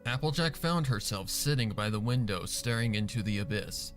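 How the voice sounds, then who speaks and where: A man's voice: on the low side, lively intonation, normal speed, a bit bright. A man reading a story aloud as a narrator, in a dramatic scripted style. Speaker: fan fiction reader, narrator. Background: background music.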